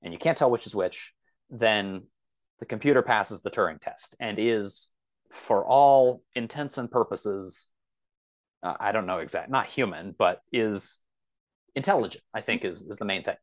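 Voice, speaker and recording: A man's voice: on the low side, moderate pace. A man in his thirties explaining things casually as a podcast guest, rambling and often correcting himself as he goes. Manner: rambling, self-correcting, hedging.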